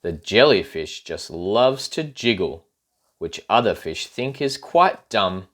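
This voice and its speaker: A man reading a children's rhyming picture book aloud in an expressive, playful way, with asides to young listeners. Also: on the low side, slow pace. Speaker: storybook reader.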